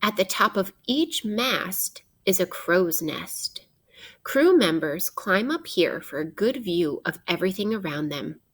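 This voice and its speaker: A woman teacher reading a children's nonfiction book aloud in an expressive, instructive way, addressing young listeners directly. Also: on the low side, a little slow, husky.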